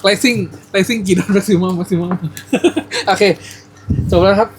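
A man, the card shop owner, commentating a card game match with lively exclamations and quick laughs. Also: sits high. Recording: noisy shop background.

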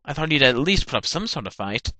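A man voicing a smug, sardonic vampire gunslinger in a deadpan parody cartoon style. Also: medium pitch, fast talker, no echo. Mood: smug, dry. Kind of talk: fan-dub parody cartoon acting.

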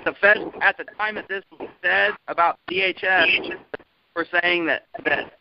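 A man's voice: high voice, normal speed, gravelly. A man calling in to a group phone call and informally reporting information. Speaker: caller. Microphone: telephone. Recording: dull noisy phone line with clicks.

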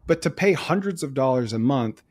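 A man hosting a business podcast, asking quick probing questions and thinking aloud about the idea. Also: medium pitch, animated, a little mellow, husky. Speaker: podcast host. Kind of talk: casual interview chat.

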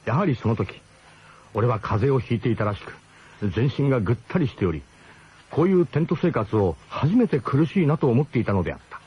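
A man's voice: mid-range voice, big pitch swings, a little fast, mellow, husky. A man narrating a radio drama in the first person, in a colloquial, wryly literary storytelling manner. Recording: dull, slightly noisy old radio broadcast.

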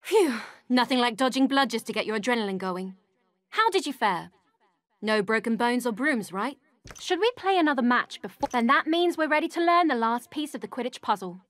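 A woman's voice: a little high, expressive, fairly quick, no echo. A woman playing a spirited young witch in a scripted video game tutorial. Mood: upbeat, chatty. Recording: loud music over the voice. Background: loud game music.

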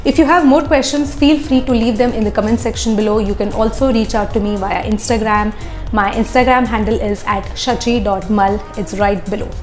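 A woman's voice: medium pitch, brisk, soft background music. Young woman coaching to camera, roomy office. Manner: clear, instructive, steady. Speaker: visa interview coach. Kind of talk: advice video to camera. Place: office with glass walls.

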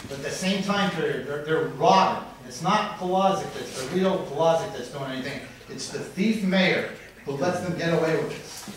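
A man's voice: fairly high pitch, normal speed, hardly any pauses. A middle-aged man giving a heated, accusatory public comment at a council meeting, heard from far back in a large room. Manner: heated, accusatory, rising to near shouting. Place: large wood-paneled council chamber. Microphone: podium mic, recorded from far back in the room. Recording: distant, very echoey chamber, faint noise.

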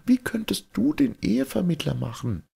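A man's voice: fairly high pitch, very animated, normal speed, vocal fry, dark tone, breathy edge, pitched above the performer's own voice, exaggerated voice. A man voicing a proud, bashful young maiden of heaven in a fairy tale, haughty and pleading.

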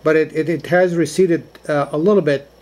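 A high-pitched man talks as an amateur radio operator from a room indoors. He keeps to procedure phrases and often repeats key words for clarity. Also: steady tone, fairly quick, darkish tone. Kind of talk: ham radio contact, short spoken exchanges. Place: indoors in a cabin.

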